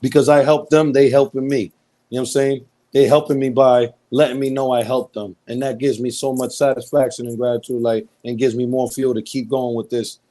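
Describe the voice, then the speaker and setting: A man at a middle pitch hosts a live-stream talk show, chatting loosely in slang and calling out viewers by name. He shifts into long, earnest stretches where he preaches his point to the audience. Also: level tone, brisk. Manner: slangy and conversational, reads out viewer shout-outs; speaks earnestly and preachily at length. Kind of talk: casual live-stream chat.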